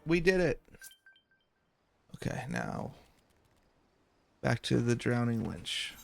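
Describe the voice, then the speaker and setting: A man talks through a video game at an easy, unhurried clip. His voice sits in a middle register with a noticeable fry, and he is softly playful. Game music plays behind him. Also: mid-range voice, very animated. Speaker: video game streamer, let's player. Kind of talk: calm, slow-paced gameplay chat with read-aloud game text.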